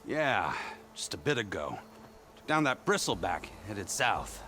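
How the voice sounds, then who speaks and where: An actor playing a talkative rustic local in a video game, speaking in a folksy, informal way. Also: male voice, on the low side, sing-song, unhurried, pushed and bright, slight background noise, heavily acted. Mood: impressed, exasperated. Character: rustic male onlooker, talkative, impressed and exasperated. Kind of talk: video game dialogue acting.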